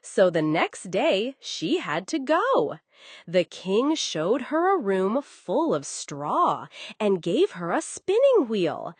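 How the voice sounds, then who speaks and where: Woman telling bedtime stories, slow and highly animated. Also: breathy edge, no room sound. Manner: coddling, addresses listener with endearments. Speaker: bedtime storyteller. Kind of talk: scripted children's bedtime story narration.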